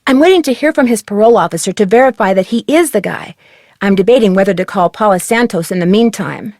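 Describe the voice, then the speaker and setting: A woman's voice: medium pitch, lively intonation, fast talker, a little mellow, no room sound, studio quality, lightly acted. A woman playing a level-headed, determined teenage girl detective in a video game, speaking in a composed, friendly, matter-of-fact way. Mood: focused, determined.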